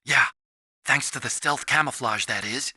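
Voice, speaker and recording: Casting: timid, kindly young scientist in a video game. Sharp, lively, dry close recording, earnest delivery. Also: male voice, medium pitch, normal speed, breathy edge, no echo. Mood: brisk. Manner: earnest, anxious, explanatory. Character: earnest, timid young male scientist, soft-hearted and nerdy.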